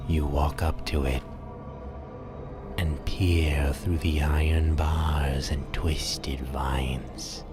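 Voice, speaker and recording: A man narrating a Halloween radio drama in a slow, ominous, theatrical storytelling manner, with a breathy, hushed delivery. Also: extremely low pitch, level tone. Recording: music under the voice. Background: music.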